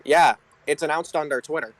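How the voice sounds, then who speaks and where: A man's voice: fairly high pitch, brisk, a bit bright. A man co-hosting a casual wrestling discussion in a matter-of-fact, clarifying manner. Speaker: podcast co-host. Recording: slightly noisy amateur audio.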